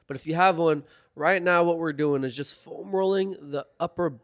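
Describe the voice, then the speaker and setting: A young man talking through a foam roller routine in a relaxed, chatty voiceover, his voice a little hoarse as he recovers from being sick. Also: fairly high pitch, normal speed. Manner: relaxed, chatty, filler-heavy. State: slightly hoarse from recovering from illness. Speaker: fitness vlogger. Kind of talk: casual instructional voiceover. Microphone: voiceover mic.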